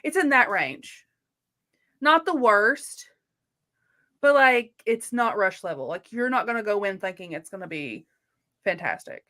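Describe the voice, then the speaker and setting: A woman's voice: medium pitch, animated, a little slow, pauses often, bright, forceful tone. A woman co-hosting a casual live book chat, effusive and candid, rambling along with plenty of filler "likes". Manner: effusive, rambling, uses lots of "like". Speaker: co-host of a live book readalong.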